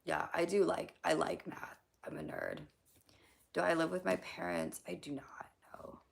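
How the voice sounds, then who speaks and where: A young woman streaming live and reading chat, her voice low and heavily gravelly with a hoarse, scratchy throat. She talks bluntly and loosely, full of fillers and casual swearing, often slipping into a near-whisper. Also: big pitch swings, measured pace. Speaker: livestreamer answering chat.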